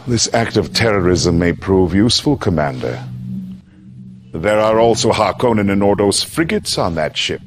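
An actor playing a shrewd, grave middle-aged military adviser in a game cutscene, speaking in a measured, formal way. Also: male voice, fairly low pitch. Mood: sly, calculating. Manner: measured, formal, theatrical delivery. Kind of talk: dramatic live-action game cutscene acting. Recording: loud ambient hum behind voice.

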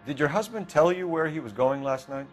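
A man acts out a stern American detective in a game interrogation. His delivery is formal and confrontational, with very animated intonation. Faint music sits behind him. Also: a little high, brisk, natural voice. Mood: probing. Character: stern, accusing young male police detective. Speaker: police detective. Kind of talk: dramatic game interrogation acting.

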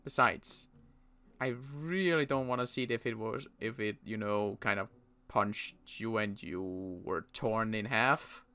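A young man playing a protective survivor in an in-character roleplay, talking in a halting way with frequent restarts. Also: lowish voice, sharp tone, smooth. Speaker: roleplay series player.

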